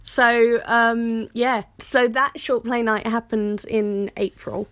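A woman's voice: a little high, little pitch movement, takes it slowly, fairly bright tone. A British woman co-hosting a podcast in a casual, chatty style, full of playful, jokey banter. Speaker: podcast co-host.

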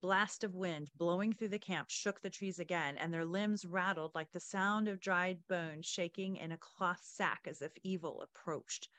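A woman hosting a podcast, reading story passages and asking questions with expressive, informal asides. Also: lowish voice, measured pace, hardly any pauses, dry recording. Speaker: podcast host. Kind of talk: reading book excerpts and interviewing the author.

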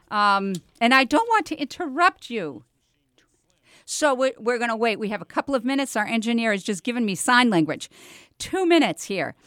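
A woman's voice: a little high, a bit bright, dry recording, clean studio sound. An older American woman hosting a casual radio talk show, chatty and conversational, speaking directly to her listeners. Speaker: radio host. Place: radio studio.

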